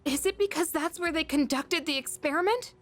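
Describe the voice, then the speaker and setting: A woman playing an outspoken, suspicious high school girl in a video game, speaking in a pointed, emotional way. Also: brisk. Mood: uneasy, probing. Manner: pointed, questioning, indignant.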